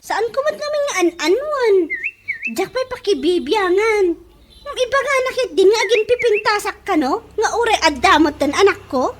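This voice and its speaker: A woman's voice: very high voice, lively intonation, normal speed, noisy background. A woman playing a vain, defiant young mother in an Ilocano-accented comedy radio drama, exclamatory and self-satisfied.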